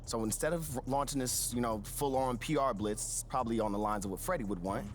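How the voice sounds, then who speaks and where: A man's voice: mid-range voice, close to an everyday voice. An actor playing a persuasive sports agent who talks strategy with his client in a video game. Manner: persuasive, casual, quick. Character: fast-talking male sports agent, strategic.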